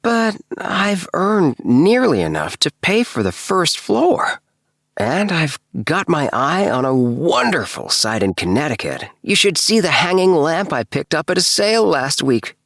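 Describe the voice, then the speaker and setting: A man voicing an eager, excitable house-obsessed gentleman with a breathless, effusive tenor in a storybook reading. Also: fairly high pitch, measured pace, creaky, dry recording, clean studio sound, pitched above the performer's own voice, exaggerated voice. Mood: excited. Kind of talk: storybook character dialogue.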